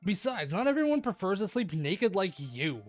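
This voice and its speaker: The smug schoolboy hero of an anime visual novel, brash and lively, mouthing off over background music as a streamer plays him. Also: medium pitch, moderate pace, dry recording, natural voice. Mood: defiant. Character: cocky teenage boy judo star, brash and crude. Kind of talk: visual novel character reading.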